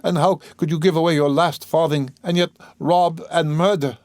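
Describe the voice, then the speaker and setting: A man's voice: medium pitch, lively intonation, moderate pace, darkish tone, no echo, voice pushed up, natural voice. A man voicing a distraught, timid, tender-hearted young woman in a dramatic audiobook reading, urgently questioning and pleading. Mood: horrified, pleading.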